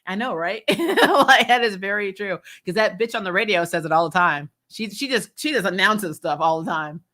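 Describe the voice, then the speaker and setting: A woman's voice: medium pitch, normal speed. A woman hosting a fan recap show, giving casual, opinionated TV commentary in a blunt, sweary way. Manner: blunt, sweary, animated mock-quoting.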